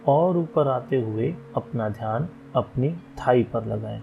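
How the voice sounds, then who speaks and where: A man leading a guided relaxation meditation as an instructor, giving calm, unhurried instructions with long pauses. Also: medium pitch, creaky, phone-quality sound. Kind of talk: guided yoga nidra meditation, slow soothing instructions. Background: meditation music.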